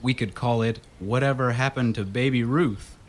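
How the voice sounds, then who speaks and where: An actor playing a curious, wisecracking husband in a radio drama, with a wry and casual manner. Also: male voice, medium pitch, normal speed, lightly acted. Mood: joking. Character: wisecracking, curious husband exploring an old mansion.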